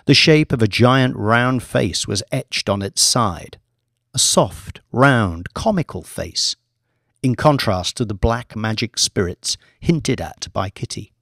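A man reading a scripted audiobook story in a measured, deliberate storytelling manner. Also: fairly low pitch, expressive, fairly bright tone, no echo, clean studio sound. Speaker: audiobook narrator, author reading own book.

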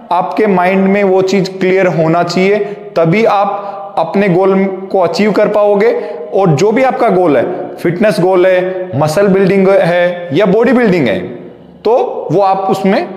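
A man, a gym trainer giving fitness tips slowly and deliberately, mixing in English phrases. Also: high voice, darkish tone. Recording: echoey hall reverb.

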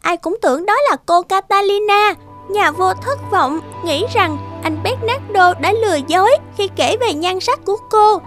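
Tiny helpful cartoon dove, really high voice, earnest n respectful, soft music under it. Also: female voice, moderate pace. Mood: earnest, reassuring. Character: small, loyal, helpful talking dove messenger. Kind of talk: Vietnamese cartoon fairy-tale acting.

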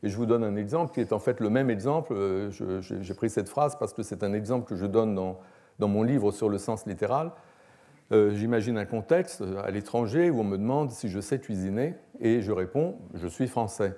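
Male academic lecturer, 60s. Relaxed dark timbre, measured explanatory delivery with hesitations. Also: on the low side. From France. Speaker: philosophy professor. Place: lecture hall, at a lectern.

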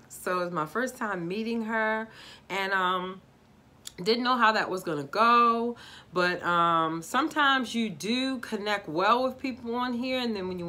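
A woman in her forties from Baltimore with a Baltimore accent, chatting casually to viewers in a living-room video review. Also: medium pitch. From Baltimore, USA. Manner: animated, talks with her hands, addresses viewers as guys. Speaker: YouTube vlogger. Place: decorated living room, indoors.

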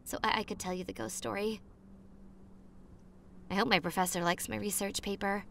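A young woman playing a popular college girl on a spooky romantic date, in an ASMR roleplay with a hushed, dreamy manner and dramatic pauses. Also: medium pitch, fairly even intonation, creaky, slight background noise. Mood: playful, hopeful. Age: young adult.

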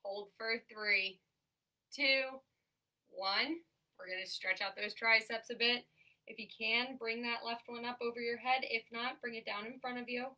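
A woman's voice: a little high, fairly even intonation, pushed and bright. Congested young woman leading a workout. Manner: upbeat, encouraging, counts down holds slowly. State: congested from allergies, breathing a bit heavily. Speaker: occupational therapist leading an exercise class. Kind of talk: guided workout instruction. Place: small room at home, in front of a banner backdrop. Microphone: camera mic from across the room. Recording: voice from a distance off a camera mic.

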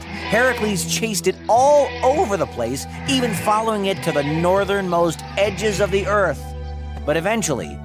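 Very animated, high-pitched male storyteller. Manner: playful, wry, dramatic emphasis. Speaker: podcast narrator and host. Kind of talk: animated storytelling narration of Greek myths for children.

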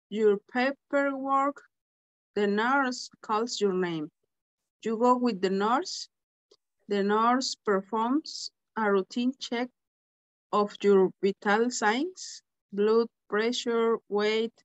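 A woman whose first language is Spanish, reading aloud haltingly as a student in an English lesson. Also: fairly high pitch, dull audio. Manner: slow, halting reading with hesitations.

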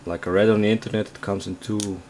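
Dutch guy doing a casual unboxing, deep voice, clear background noise on the camera mic. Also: flat delivery, fast talker, a little mellow. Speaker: tech reviewer. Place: indoors at a desk in a room.

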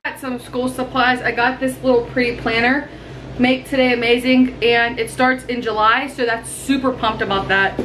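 A woman's voice: a little high, flat delivery, normal speed, fairly bright tone, slight background noise, rough recording. A young woman from Pennsylvania chatting casually through a grocery haul vlog in her home kitchen, talking to a handheld camera at arm's length. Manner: chatty, bubbly, teasing. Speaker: vlogger.

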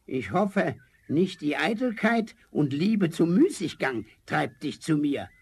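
A tiny old glass spirit of the forest for a fairy-tale radio play. The voice is thin, high and cracked with creak, swooping wildly in pitch as he chides in a kindly, grandfatherly way. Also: sing-song, takes it slowly, full character voice. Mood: cautioning, benevolent. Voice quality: thin, delicate, raspy. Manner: chuckling, chiding, grandfatherly.

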